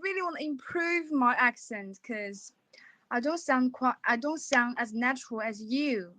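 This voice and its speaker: A woman's voice: fairly high pitch, unhurried, bright, forceful tone. A woman from China, a native Chinese speaker with a learned British English accent, talking with her teacher as a student on a video call, polite, modest and giggly. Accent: British English, learned from films. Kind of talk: casual conversation lesson.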